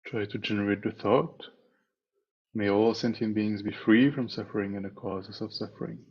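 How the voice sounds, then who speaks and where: A man leading a guided meditation as a teacher, speaking in a calm, measured way with long pauses between phrases. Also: on the low side, slightly rough. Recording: dull, muffled online meeting audio.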